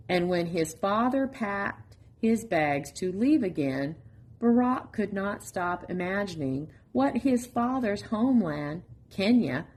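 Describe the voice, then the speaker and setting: A woman reading a children's picture book aloud as a storytime reader, in an expressive, deliberate manner with pauses. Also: medium pitch.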